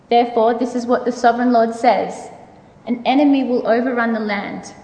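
Woman, higher-set voice, solemn scripture recitation, declamatory, distant mic in echoey hall. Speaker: church member reading scripture aloud. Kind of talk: dramatic scripture reading. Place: large reverberant room.

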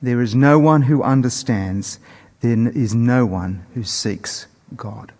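A man preaching a sermon in a measured, earnest manner with weighty pauses. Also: medium pitch. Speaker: preacher introducing scripture. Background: soft plucked guitar music.

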